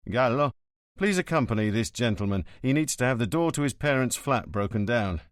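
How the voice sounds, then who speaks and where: A curt, commanding police inspector, as a male reader gives him voice, speaking in short, dry orders. The voice sits at a middle pitch with a lively rise and fall. The sound is close and dry. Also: brisk, no room sound, close to an everyday voice. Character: brusque no-nonsense police inspector, adult man. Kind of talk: audiobook character voice.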